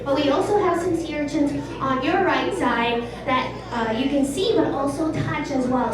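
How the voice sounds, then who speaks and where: A woman giving a live, conversational educational talk as an animal show presenter at an aquarium. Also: high voice. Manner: conversational, explanatory. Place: public aquarium exhibit, amplified. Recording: echoey, distant, crowd chatter.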